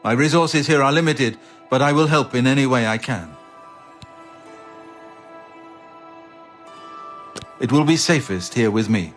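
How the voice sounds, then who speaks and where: Actor as a solemn fantasy loremaster and old-guard captain, measured. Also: male voice, mid-range voice, a bit bright, soft background music, close to an everyday voice. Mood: earnest, urging. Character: grave, learned former royal bodyguard captain, loyal and measured. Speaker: video game character. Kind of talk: scripted fantasy game dialogue.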